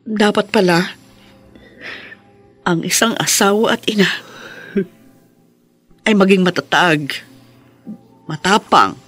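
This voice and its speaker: A woman's voice: medium pitch, a bit bright. An actress playing a steadfast, loving wife and mother in an earnest, emotional Filipino radio drama. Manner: earnest, emotional, measured phrases. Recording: music behind the voice.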